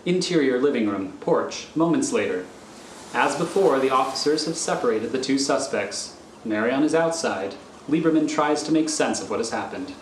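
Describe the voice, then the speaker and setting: A man narrates a thriller script, reading scene directions deliberately. His mid-pitched voice sits in an echoey room with faint noise behind it. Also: expressive.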